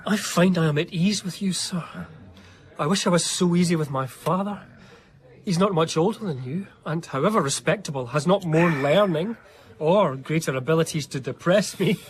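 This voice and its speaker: An actor playing an eager, earnest young eighteenth-century gentleman diarist in a radio drama, speaking in a formal, confiding, literary way. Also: on the high side, normal speed, close to an everyday voice. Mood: eager, admiring. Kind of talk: radio drama narration and dialogue.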